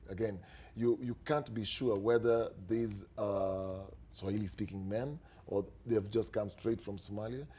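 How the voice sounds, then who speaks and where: A man anchoring live television news, his voice low and mellow. He puts questions to a guest at a measured pace, padding them with "uh" and "you know." The style is a studio interview. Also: breathy edge. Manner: measured questioning with frequent fillers.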